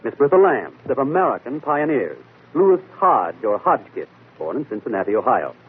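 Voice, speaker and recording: Male detective, methodical, didactic, lively intonation, muffled old radio recording, 1940s mystery drama. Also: mid-range voice, moderate pace, breathy edge. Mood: brisk, assured deduction. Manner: didactic, posing and answering questions. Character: confident, methodical male amateur detective, explaining his deductions. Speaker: detective and show host. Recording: muffled, lo-fi vintage radio broadcast.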